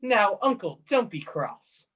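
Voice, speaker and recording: An actor playing a good-natured, cheerful young nephew in a radio play, coaxing in manner, with a slightly husky voice. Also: male voice, sits high, very animated, slow pace, heavily acted. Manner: bright-hearted, coaxing.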